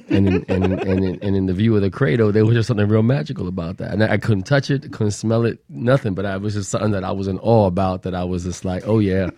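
A man who is a guest on a radio talk show, telling an animated story in a testifying tone. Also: sits low, no room sound.

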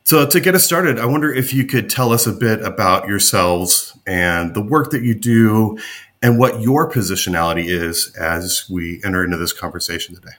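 A man from the United States hosting a podcast, introducing guests in a measured, formal way. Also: fairly low pitch, a bit bright. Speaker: podcast host.

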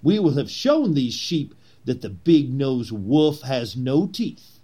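An actor playing a zealous, persuasive Confederate conspirator in a historical drama, with a husky voice and theatrical, oratorical delivery. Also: male voice, medium pitch, big pitch swings, takes it slowly, warm tone, full character voice. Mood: fervent. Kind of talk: period historical drama acting.

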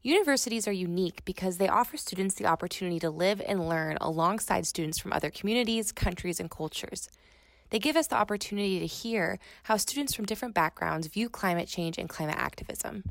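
A young woman co-hosting a podcast, reading its scripted introduction in a steady, clear way. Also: mid-range voice, fast, no echo. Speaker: podcast co-host.

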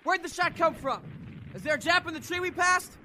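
A man playing an alert, excitable young infantryman in a World War Two radio drama. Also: very high voice, brisk, a little hiss or hum, full character voice, poor audio. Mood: alarmed.

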